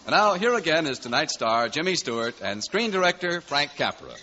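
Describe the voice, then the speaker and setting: Animated American male radio announcer. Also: on the high side, a little fast, a little hiss or hum. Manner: formal, polished. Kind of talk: 1940s broadcast announcing, scripted. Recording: muffled 1940s radio recording.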